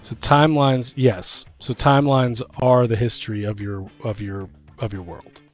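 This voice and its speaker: Rambling casual man, slightly low-pitched, music behind. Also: fairly even intonation, normal speed. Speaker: podcast host and game master. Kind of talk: casual chat about tabletop game world-building.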